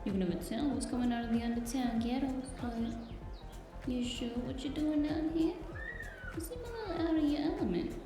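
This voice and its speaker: A woman's voice: high-pitched, expressive, fairly quick. A woman playing a playful, coy, curious market vendor who teases and flirts in a cyberpunk audio drama. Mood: curious, teasing. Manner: coy, teasing, lilting. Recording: echoey, distant mic, music behind.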